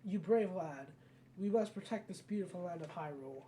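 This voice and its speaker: A solemn woman guardian from a fantasy video game, voiced by a player reading aloud. The delivery is measured and instructive, with a dark, mellow tone. There is slight noise in the home recording. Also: mid-range voice, distant mic, close to an everyday voice.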